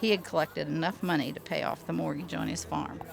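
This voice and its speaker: A woman's voice: sits low, steady tone, fairly quick, vocal fry, crowd noise. A woman acting as a rural eyewitness, narrating her recollections in an audio drama. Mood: reflective. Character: woman recalling rural crash aftermath as eyewitness. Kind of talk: scripted first-person narration.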